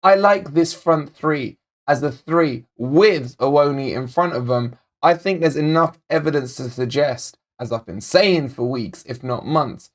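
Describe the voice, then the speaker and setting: A man hosting a football fan channel, talking casually and directly to viewers in a match analysis, his voice still a little croaky. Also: fairly high pitch, measured pace, few pauses. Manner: chatty, direct, addresses viewers as guys. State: slightly croaky voice. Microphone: desk microphone.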